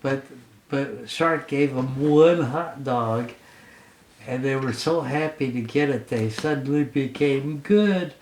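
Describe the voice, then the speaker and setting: Slow-talking father in a roomy space. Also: medium pitch, a little mellow. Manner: halting, simple playful narration for a child. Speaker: father telling a bedtime story. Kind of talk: improvised bedtime storytelling. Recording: roomy home recording.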